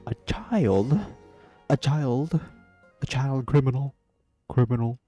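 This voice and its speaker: A man's voice: mid-range voice, slow pace, dark tone, soft background music. A man doing casual, playful reaction commentary on a game, sometimes reading the on-screen text aloud. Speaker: gamer doing a playthrough.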